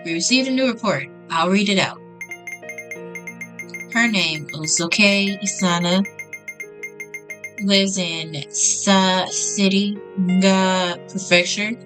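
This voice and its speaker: A woman's voice: mid-range voice, takes it slowly, pauses often. A woman reading visual novel text aloud as a gaming host, in an informal way with casual reactions. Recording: music behind the voice.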